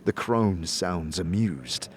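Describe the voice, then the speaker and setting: Deep-ish guy narrating dark fantasy, slow and suspenseful, dramatic storyteller. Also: lively intonation, noisy background.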